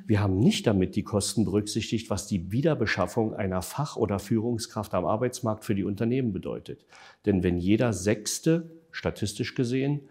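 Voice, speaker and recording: Low, husky-voiced man explaining facts. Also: a little mellow. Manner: measured and factual, frequent hesitation fillers. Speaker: interview guest, developer of an online tool. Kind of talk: explanatory interview answers.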